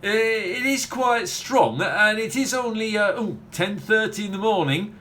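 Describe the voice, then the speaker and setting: A man plays an eager, fussy waiter in a radio comedy. He gushes and hesitates, his pitch jumping around in a lively way. The recording carries some noise. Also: a little slow. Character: eager, flustered, fawning milkshake bar waiter named Victor. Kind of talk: family comedy audio play acting.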